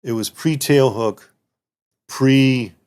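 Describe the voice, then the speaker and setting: A man's voice: medium pitch, slow, darkish tone, smooth. A man in his fifties, a native German speaker, reminiscing as a retired military guest in a rambling way with many restarts. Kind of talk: casual interview reminiscing.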